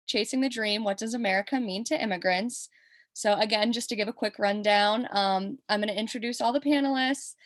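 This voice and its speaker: A woman's voice: a little high, dry recording. Young woman hosting a panel, dry sound. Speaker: graduate assistant host.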